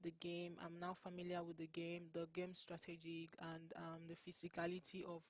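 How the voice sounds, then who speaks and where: A young woman from Nigeria with a Nigerian accent, whose first language is Igbo, answering interview questions in an earnest, unhurried way and laughing often. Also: on the low side, monotone. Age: young adult. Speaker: college basketball player.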